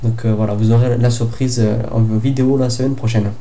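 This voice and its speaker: A young man from France talks through a casual book review, stuffy from a head cold. His voice is very dark and mellow and stays flat in pitch. He hesitates often, filling gaps and restarting his sentences. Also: a little fast. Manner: hesitant, lots of fillers and restarts. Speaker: book vlogger.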